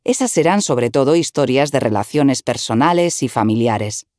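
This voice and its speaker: A woman narrating a written article in an even, measured reading style, recorded close to the mic. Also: a bass voice, no room sound.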